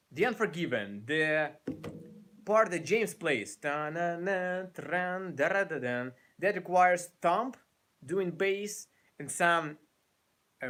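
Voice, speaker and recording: A young man in his late twenties speaks English with a Ukrainian accent, talking to his audience through a webcam in a small indoor room. His pitch is a little high for a man and swings widely as he gestures and restarts sentences. He takes his time, speaking slowly and loosely. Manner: animated, gestures a lot, restarts often. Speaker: guitar YouTuber. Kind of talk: casual live stream chat.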